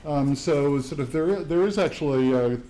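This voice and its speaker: A man moderating a panel discussion, talking haltingly and leaning on fillers as he tells an anecdote. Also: on the high side, dark tone. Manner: halting, full of "sort of" fillers. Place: campus event hall.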